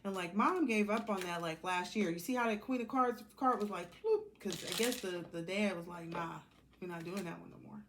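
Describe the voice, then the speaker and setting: A woman's voice: medium pitch, fairly quick, noisy background. An American woman giving a casual, rambling tarot card reading in a blunt, sassy, colloquial way.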